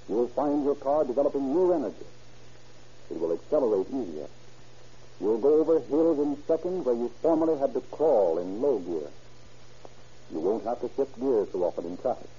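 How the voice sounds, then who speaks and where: Muffled old-time radio announcer man, very mellow. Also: medium pitch, husky. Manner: formal, declamatory announcer delivery. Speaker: narrator and announcer. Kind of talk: 1930s radio dramatized narration and sponsor pitch. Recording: muffled, noisy 1930s radio recording.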